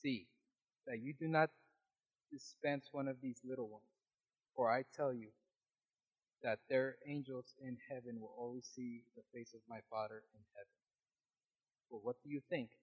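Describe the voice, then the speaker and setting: A man with a small, quiet voice reading scripture aloud in a Bible study, steady with occasional restarts. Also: medium pitch, normal speed, pauses often, slightly rough. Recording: dull lo-fi recording.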